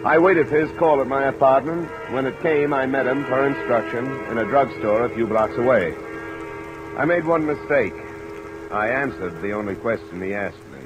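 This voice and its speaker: An actor playing a cool, laconic insurance investigator who narrates an old radio detective drama, matter-of-fact in delivery. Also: male voice, medium pitch, normal speed, music in the background, close to an everyday voice, rough recording. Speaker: lead character and narrator.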